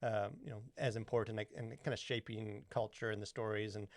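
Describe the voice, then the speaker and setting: A man's voice: lowish voice, level tone, brisk, no room sound. A man co-hosting a podcast in casual chat, rambling with lots of "like" and "you know". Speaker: podcast co-host.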